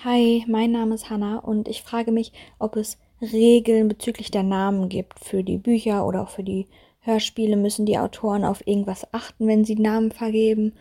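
A woman's voice: mid-range voice, flat delivery, moderate pace, mellow. A woman asking a question in a recorded voice message as a listener.

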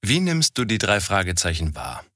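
Host, man, low and lively voice, clear announcing and interview questions, dry mic. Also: normal speed, no room sound. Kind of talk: scripted intro and interview questions.

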